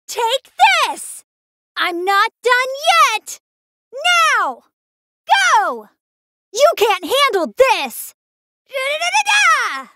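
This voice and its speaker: A woman's voice: extremely high pitch, sharp tone, no echo, studio quality, full character voice. An American actress voicing a feisty, confident teenage girl martial artist with brash, emphatic delivery for an anime fighting game. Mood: fierce battle shouts.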